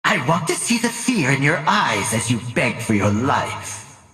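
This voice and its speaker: A man playing a cruel, gleeful, condescending older mad scientist in a video game, with a theatrical, sinister voice and mocking, drawn-out articulation. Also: mid-range voice, very animated, sharp tone, reverberant, long reverb tail, soft background music. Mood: taunting, gleeful. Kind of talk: video game villain acting.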